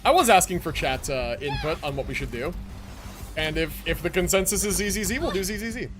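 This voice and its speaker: A man's voice: high voice, lively intonation, a little fast. A young man giving casual, slangy, laid-back commentary as he livestreams a game. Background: game music.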